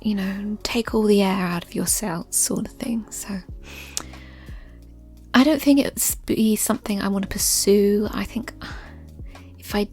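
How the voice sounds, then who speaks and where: Soft spoken asmr lady, half whispering, gentle rambly chat, music behind her. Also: mid-range voice, a little slow. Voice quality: soft, breathy, often near-whispered. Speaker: ASMR creator answering listener questions.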